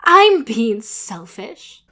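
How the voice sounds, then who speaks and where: A woman's voice: a little high, sing-song, extremely slow, a bit bright, full character voice. A woman playing a defiant, frustrated young upper-class daughter in an audio drama. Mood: hurt, indignant. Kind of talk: fan audio drama acting.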